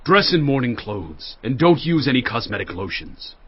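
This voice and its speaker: An actor playing an authoritative ancient ruler, speaking formally and deliberately in a dramatized audio Bible. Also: male voice, mid-range voice, sing-song, slightly rough, no room sound, dull audio. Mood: instructing.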